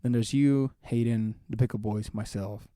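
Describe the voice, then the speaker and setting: Energetic young man, dry close sound. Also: fairly low pitch, normal speed, no echo. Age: 20s. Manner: energetic, excitable, slangy. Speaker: podcast co-host. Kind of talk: casual chat.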